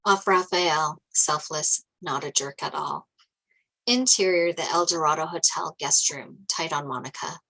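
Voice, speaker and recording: A woman's voice: sits low, measured pace, creaky, sharp tone, poor audio. A woman reading screenplay stage directions aloud as scripted narration.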